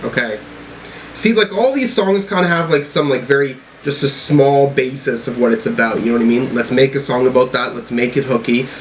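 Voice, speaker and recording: Halting man thinking aloud, faint background noise. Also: mid-range voice. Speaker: music reaction host. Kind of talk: casual album reaction commentary.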